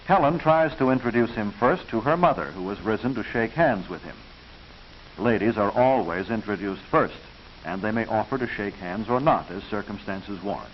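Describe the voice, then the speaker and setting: A man's voice: fairly low pitch, expressive, highs cut off. Hissy 1940s American male film narrator. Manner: instructive, proper, measured enunciation.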